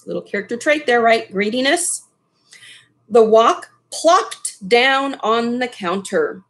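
A middle-aged woman reads a picture book to children. Her voice is fairly high and very animated in pitch, taken at a slow pace with asides to the listeners. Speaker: storybook reader. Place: small furnished room at home.

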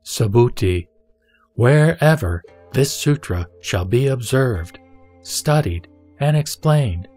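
Man acting a serene, deliberate holy teacher. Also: medium pitch, darkish tone. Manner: measured, deliberate, preaching in short phrases. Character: the Buddha, serene solemn male teacher instructing a disciple. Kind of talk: scripted sutra recitation, audio drama. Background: music.

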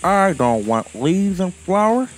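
A man's voice: high-pitched, sing-song, takes it slowly, a little hiss or hum, voice pushed up, exaggerated voice. A man voicing a choosy little red squirrel in a children's storybook, emphatic and wishful.

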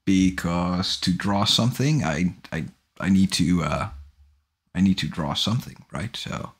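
A man in his forties hosts a casual livestream from a small home office, speaking into a large studio microphone. His voice sits very low with a husky edge, and he talks at an unhurried pace. He rambles and corrects himself as he goes. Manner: relaxed, rambling, self-correcting. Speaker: livestream host. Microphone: large studio microphone on boom arm, headphones.

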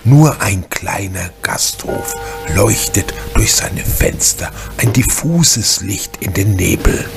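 An actor as an ominous storyteller, giving dramatic scripted narration for a German radio mystery. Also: male voice, low-pitched, expressive, slow, creaky, over music, exaggerated voice.

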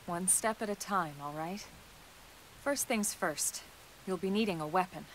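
A slightly low-voiced female soldier played by an actress in a sci-fi game, composed and reassuring. She speaks in a steady, matter-of-fact way, with faint rain behind her. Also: measured pace, noisy background, lightly acted. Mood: subdued, grave. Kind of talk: video game cutscene acting.

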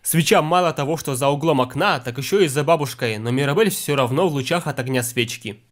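A man with a slightly high voice delivers scripted, nitpicking commentary. His manner is matter-of-fact, and music plays quietly behind him. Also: brisk. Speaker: video critic narrating film mistakes.

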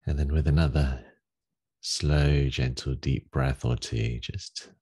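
A man leading a guided meditation as a teacher, giving gentle, unhurried instructions with long pauses in a gravelly, husky voice. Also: rumbling low, fairly even intonation. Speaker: meditation teacher leading a daily group session.

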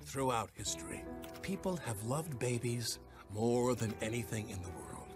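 A man playing a bossy, smug baby businessman in an animated film, talking in a dry, deliberate, self-important way. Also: mid-range voice, close to an everyday voice. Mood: solemn. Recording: film clip with music behind.

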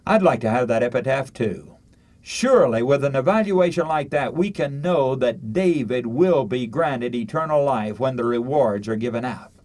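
An older man preaching a radio sermon, emphatic and deliberate, using rhetorical questions and repetition and reading out scripture. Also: medium pitch, lively intonation, a little mellow.

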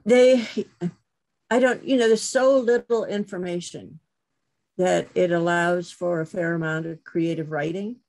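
A middle-aged woman professor giving a thoughtful webinar talk over a video call, pausing with many fillers and restarts. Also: lowish voice, fairly even intonation, a little mellow. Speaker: guest lecturer, professor.

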